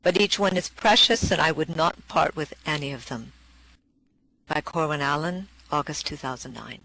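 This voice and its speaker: Poetry reader, measured expressive recitation, medium pitch, short spoken introductions. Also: vocal fry, low-quality recording. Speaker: poetry performer.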